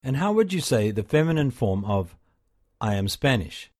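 A man narrating a language course, calmly prompting the learner what to say next. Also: mid-range voice. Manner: calm, measured prompting. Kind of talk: scripted instructional narration.

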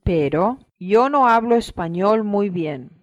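A speaker gives slow, deliberate model phrases for learners, repeating each one. The sound is dry and a little dull, like an old course recording. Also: medium pitch, lively intonation, dry recording.

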